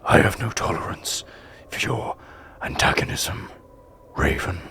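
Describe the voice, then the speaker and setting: Lonely last human, bitter, low gravelly whisper. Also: male voice, lively intonation, a little slow, sharp tone, a little hiss or hum, exaggerated voice. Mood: cold. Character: grieving last human man, cold and bitter.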